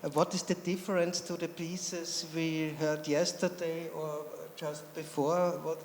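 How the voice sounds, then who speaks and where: Male panel moderator, slightly high voice, echoey hall, faint background hum. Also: little pitch movement, measured pace. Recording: roomy hall, faint ambience.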